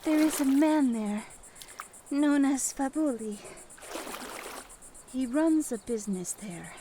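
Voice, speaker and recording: A woman playing a frail, solemn water-folk scout in a fantasy audio drama, speaking haltingly. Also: high voice, animated, mellow, slight background noise. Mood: weary, solemn. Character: frail, stranded female Zora scout of a river people.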